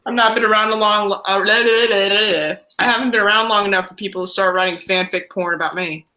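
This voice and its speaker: A woman's voice: mid-range voice, quick pace. A woman from the American Midwest with a Midwestern accent, chatting and joking casually in a group call. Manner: animated, joking. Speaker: streamer.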